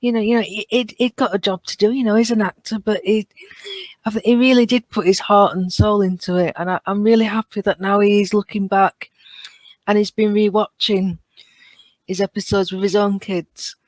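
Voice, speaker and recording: A woman's voice: medium pitch, steady tone, moderate pace, no echo. Woman chatting on a fan podcast, earnest and keen, dry close sound. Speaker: podcast guest or co-host. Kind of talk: casual fan discussion.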